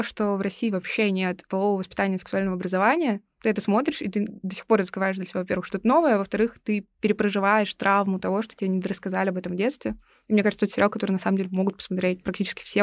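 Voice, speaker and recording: Fast-talking young woman, very dry sound. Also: mid-range voice, no echo. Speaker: podcast co-host. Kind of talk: casual opinionated discussion.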